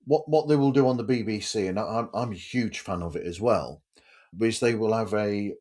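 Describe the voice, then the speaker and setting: Male podcast interviewer, fairly low register, casual and conversational delivery. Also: normal speed, darkish tone.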